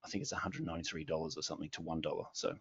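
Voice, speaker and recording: A man's voice: rumbling low, steady tone, very fast, vocal fry, fairly bright tone, highs cut off, slight background noise. A man giving a passionate, emphatic speech at a launch event, often repeating words. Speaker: advocate speaker.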